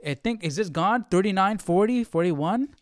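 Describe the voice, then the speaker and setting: Casual, higher-voiced man in a dry room. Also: animated, normal speed, dry recording. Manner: informal, exclamatory, repeats himself for emphasis. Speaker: Bible study livestream host. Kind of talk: casual chat commentary.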